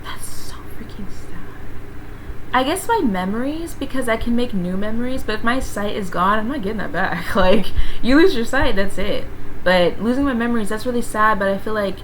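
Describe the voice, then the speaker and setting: A woman live streamer chatting casually through would-you-rather questions, her voice hoarse, raspy and gravelly, rambling and sniffing as she talks. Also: fairly high pitch, normal speed, low-quality recording. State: hoarse, voice partly gone. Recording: steady background noise.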